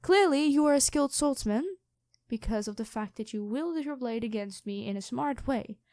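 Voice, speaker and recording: A woman playing an imperious dragon queen in human form, speaking in a measured, condescending way in an ASMR roleplay addressed to the listener. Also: on the high side, darkish tone, no echo, natural voice. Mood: commanding, condescending. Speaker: voice actor in an audio roleplay.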